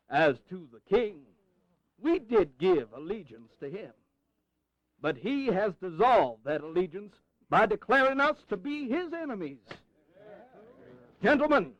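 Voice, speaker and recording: An actor playing a dryly reasoning colonial delegate, giving measured formal oratory in a historical audio drama. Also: male voice, high voice, no echo. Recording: dull, close 1980s drama recording.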